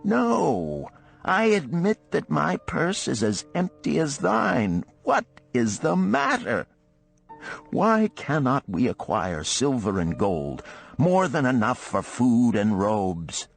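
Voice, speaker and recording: A man plays a middle-aged craftsman of ancient Babylon, weary of being poor. He declaims earnestly in archaic phrases, with pitch that rises and falls widely. The pace is measured, as in an old parable. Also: huge pitch swings, gravelly, faint music behind, heavily acted. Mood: exasperated, aggrieved.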